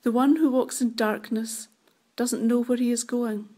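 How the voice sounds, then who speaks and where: A woman reads scripture as part of a church service. Her voice sits a little high. The delivery is formal, measured and deliberate. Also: steady tone, warm tone. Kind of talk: formal Bible reading.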